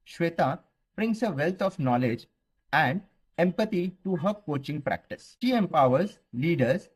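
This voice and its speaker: A man with an Indian English accent reading a scripted podcast introduction in a measured, formal way. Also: a little high, a little mellow, slightly rough, low-quality recording. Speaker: podcast co-host narrating intro. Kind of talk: scripted narration.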